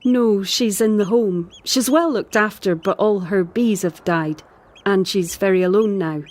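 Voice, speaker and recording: An actress plays a sensitive, troubled Scottish island woman in an audio drama. Her delivery is earnest and expressive, at a middling pitch for a woman. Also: a little slow, lightly acted. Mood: guilty, subdued.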